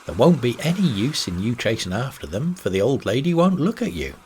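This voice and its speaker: A man's voice: medium pitch, sing-song, fairly quick, darkish tone, pitched above the performer's own voice, lightly acted. Hearty, scheming old skipper, acted out by a male reader, salty and emphatic, swinging delivery, slight noise behind. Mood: urgent. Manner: salty nautical talk, emphatic. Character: shrewd, hearty old sea captain, bossy and fatherly.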